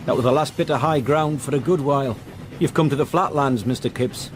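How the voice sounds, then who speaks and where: A man's voice: medium pitch, brisk, a little hiss or hum, lightly acted. An actor playing a blunt, foreboding local man with a Northern English accent and terse speech, in a radio drama. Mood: gruff, helpful.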